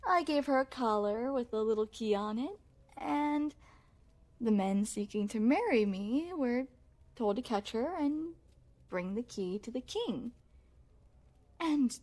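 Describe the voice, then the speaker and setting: A woman's voice: fairly high pitch, animated, slow, long pauses, darkish tone. A woman playing a refined young crown princess in an ASMR roleplay, speaking formally and with coy poise straight to the listener. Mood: amused, confiding. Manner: formal, poised, genteel storytelling with coy amusement.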